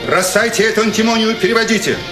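A curt German major. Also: male voice, sits high, fast talker, a bit bright, soft background music. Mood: commanding. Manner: clipped, impatient, commanding. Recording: echoey room with film score.

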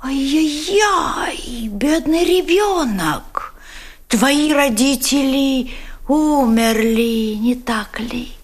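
Wartime drama interrogator: German woman, falsely tender, theatrical, unhurried delivery, very animated intonation. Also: high-pitched, heavily acted. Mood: coaxing, falsely tender. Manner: syrupy, drawn-out, theatrical phrasing with repeated soft words. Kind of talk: dramatic acting.

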